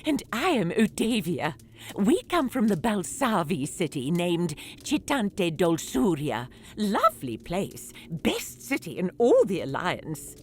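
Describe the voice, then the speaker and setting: A woman playing a feisty, sarcastic rodent-folk trader in a brisk audio drama performance. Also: lowish voice, wildly swooping pitch, a little hiss or hum, exaggerated voice. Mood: sardonic.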